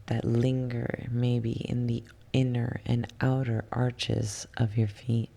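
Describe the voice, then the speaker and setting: A soft, hushed voice guiding a yoga nidra relaxation in ASMR style, soothing and unhurried, slipping at times into a whisper. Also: mid-range voice, little pitch movement, creaky. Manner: soothing, unhurried, with long pauses. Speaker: guided relaxation instructor.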